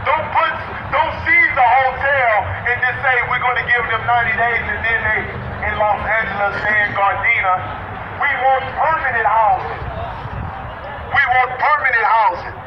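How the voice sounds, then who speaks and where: A man's voice: very high voice, moderate pace. An American man giving an emphatic, repetitive, impromptu street rally speech as an activist, through a bullhorn. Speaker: activist speaking to a crowd. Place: outdoors on a city street with traffic. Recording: distant bullhorn-like voice, dull, echoey, noisy street.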